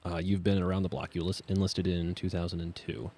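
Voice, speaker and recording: Deep husky male podcast host. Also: flat delivery, fairly quick, warm tone. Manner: friendly, joking asides. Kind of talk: interview questions.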